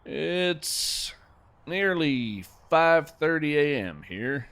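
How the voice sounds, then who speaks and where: A man playing an earnest, folksy naturalist who narrates audio fiction in florid, wonderstruck, deliberate phrases. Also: fairly high pitch, big pitch swings, fairly bright tone, exaggerated voice. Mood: hushed anticipation. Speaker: podcast host and narrator. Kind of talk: scripted first-person audio fiction narration.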